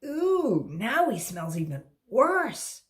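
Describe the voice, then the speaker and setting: Woman as whiny, disgusted schoolkid, pitched high. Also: sing-song, slow pace. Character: disgusted, tattling young schoolchildren.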